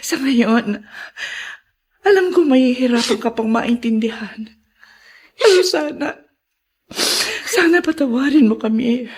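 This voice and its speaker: A woman's voice: high-pitched, expressive, unhurried, long pauses, darkish tone. An actress playing an emotional, anguished mother in a Filipino radio drama. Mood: tearful remorse. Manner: dramatic, anguished, breaking into sobs. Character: guilt-ridden ailing mother, emotional and remorseful.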